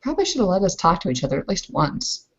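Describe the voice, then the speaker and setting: A woman's voice: lowish voice, sing-song, fast, breathy edge, muffled recording, exaggerated voice. A woman playing a composed, frank Bavarian bride in a tabletop roleplay, hesitating as she searches for words. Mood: dry, frank.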